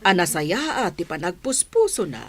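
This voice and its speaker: A woman's voice: lowish voice, sing-song, normal speed. A woman with an Ilocano accent acting a gracious, charitable madam in a radio drama. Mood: gracious, welcoming. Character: gracious, charitable well-off madam hosting a picnic.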